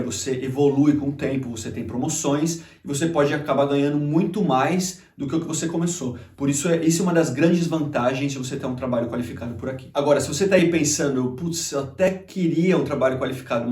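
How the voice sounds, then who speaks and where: A man's voice: mid-range voice, fast, hardly any pauses, a little mellow, far from the mic. A Brazilian man with a Brazilian Portuguese accent, hosting a casual vlog and chatting to camera with lots of fillers. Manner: chatty, conversational, frequent fillers. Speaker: YouTube channel host. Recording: roomy echo, faint music behind.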